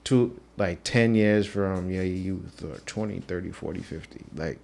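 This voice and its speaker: A man's voice: deep voice, unhurried, gravelly, darkish tone. A man hosting a podcast, asking loose, rambling questions with many fillers. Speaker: podcast host. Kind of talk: casual interview questions.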